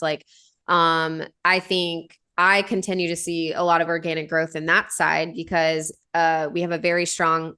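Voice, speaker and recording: Thirtysomething woman guest on a podcast, kinda low voice, earnest, lots of ums and restarts. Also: bright, forceful tone, clean tone. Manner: earnest, filler-heavy, frequent restarts. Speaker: podcast guest, company founder. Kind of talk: conversational interview answers.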